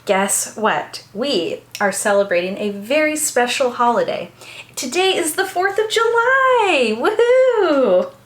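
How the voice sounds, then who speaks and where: A woman's voice: sits high, sing-song, slow pace, roomy sound. An American woman hosting a read-aloud storytime for young children, speaking with bubbly, exaggerated enthusiasm as a teacher does to little ones.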